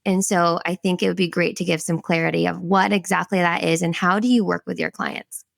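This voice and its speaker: Woman host, lower voice with vocal fry, conversational questioner. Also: flat delivery, brisk, dry recording, studio quality. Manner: chatty, circling questions. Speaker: podcast host. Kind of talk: casual podcast interview.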